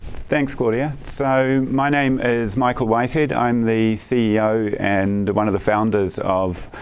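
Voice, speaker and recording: A man's voice: mid-range voice, level tone, unhurried, clean tone. A man with a New Zealand accent introducing himself as company head with dry humor. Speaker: company CEO presenter. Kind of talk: self-introduction at a briefing.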